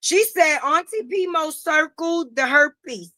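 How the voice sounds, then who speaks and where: Loud sassy high-voiced woman streamer. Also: slow pace, dry recording. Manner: sassy, loud, greets viewers by name. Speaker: live stream host. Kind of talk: casual gossip commentary.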